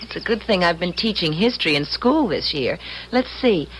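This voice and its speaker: An actress playing a spirited, wry female time traveler with expressive, exclamatory old-time radio delivery. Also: fairly low pitch, normal speed, close to an everyday voice. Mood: thoughtful, concerned. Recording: noisy old radio drama recording.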